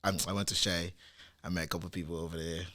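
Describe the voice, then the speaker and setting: A man in his twenties from Nigeria, a DJ guest talking in a relaxed, easygoing way with casual slang. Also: sits low, quick pace. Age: 20s. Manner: laid-back, easygoing slang. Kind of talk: casual podcast chat.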